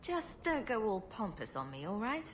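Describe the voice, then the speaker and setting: A woman's voice: medium pitch, very animated, normal speed, a little hiss or hum, lightly acted. A woman voicing a wisecracking female rogue in a video game, flippant and drily witty. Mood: sarcastic. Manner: flippant, dry wit. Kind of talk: video game dialogue acting.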